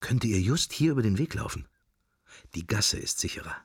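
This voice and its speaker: Low voiced guy thinking to himself, murmury, wry n melancholy, really dry close sound, radio play. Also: lively intonation, moderate pace, husky, no room sound. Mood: idle musing. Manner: murmured, fragmentary, introspective. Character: musing middle-aged man's wandering inner thoughts, wry, melancholy. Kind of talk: radio drama interior monologue.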